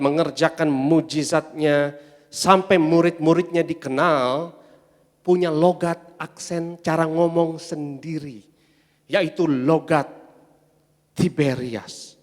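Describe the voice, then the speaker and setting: A man preaching a sermon as pastor, emphatic, swelling loud on his refrains and calling the congregation to say amen. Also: fairly high pitch. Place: large church hall. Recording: long church-hall reverb.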